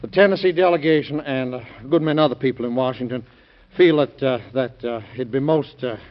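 An actor plays a nervous colonel who stammers and hems and haws through every sentence in an old radio drama. The vintage recording sounds dull. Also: male voice, mid-range voice, a little fast, close to an everyday voice. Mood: flustered. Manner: stammering, hemming and hawing. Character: nervous stammering male colonel, delegation spokesman.

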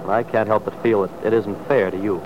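An actor playing a troubled, guarded but tender young sergeant in an old-time radio drama, halting and earnest. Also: male voice, lowish voice, fairly even intonation, natural voice. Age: young adult. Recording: muffled, noisy vintage radio recording.

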